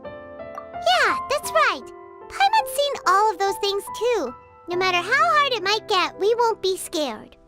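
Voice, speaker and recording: A woman voicing a small childlike fairy companion in a video game, squeaky, earnest and excitable. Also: takes it slowly, over music, full character voice. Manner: refers to herself in third person, expressive.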